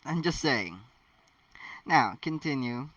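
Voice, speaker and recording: A man reading stories aloud casually, with chatty, halting asides of his own. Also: medium pitch, lively intonation, a bit bright, no room sound, recorded from a distance, dull audio. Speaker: amateur fan fiction narrator. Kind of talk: casual read-aloud with rambling personal commentary.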